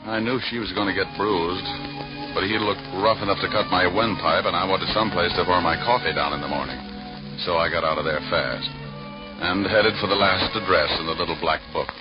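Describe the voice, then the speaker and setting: A male actor plays a wisecracking private eye with a deep, deadpan delivery. He narrates like classic 1940s radio noir. The sound is a dulled old broadcast with music underneath. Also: fairly quick, dull audio, lightly acted. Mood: wry, tense. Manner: wry, deadpan. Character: wisecracking hard-boiled male private detective.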